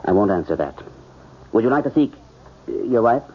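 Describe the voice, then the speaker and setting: An actor playing a principled, lovelorn surgeon, measured and formal, in 1970s radio drama style. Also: male voice, fairly low pitch, big pitch swings, a little hiss or hum. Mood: cool, professional. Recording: dull, band-limited radio drama recording.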